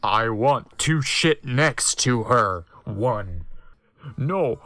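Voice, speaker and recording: A narrator voicing a hot-headed, jealous teenage boy, gruff and belligerent, in a story reading. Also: mid-range voice, animated, very slow, a bit bright, voice pushed down, exaggerated voice. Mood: angry.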